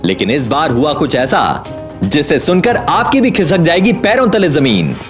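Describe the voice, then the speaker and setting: A man's voice: a little high, sing-song, normal speed, over music. A man reading a scripted audio story as its narrator, dramatic, suspenseful and emphatic.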